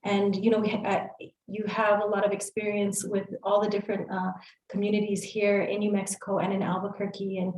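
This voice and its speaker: A woman's voice: mid-range voice, completely flat delivery, fairly quick, darkish tone, roomy sound, low-quality recording. A woman hosting an interview, switching between English and Spanish with many fillers. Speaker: interview host. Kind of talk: conversational interview.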